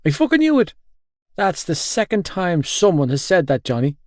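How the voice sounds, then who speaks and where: A man voicing a loud, comically wounded young man in an audiobook, exclaiming with melodrama. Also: mid-range voice, moderate pace, no echo, studio quality, lightly acted. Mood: aggrieved, panicked. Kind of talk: audiobook character dialogue.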